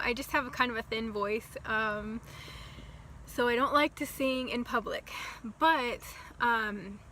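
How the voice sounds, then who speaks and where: A young woman telling a casual storytime vlog, talking slowly and softly with many ums and likes. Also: a little high, a little hiss or hum. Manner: slow, soft-spoken with many ums and likes. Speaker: vlogger.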